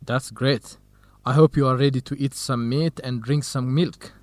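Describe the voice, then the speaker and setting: A man's voice: mid-range voice, fairly even intonation, normal speed, dry recording, lightly acted. A man playing a cautious, good-natured young graduate student in an educational radio drama. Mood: relaxed, friendly.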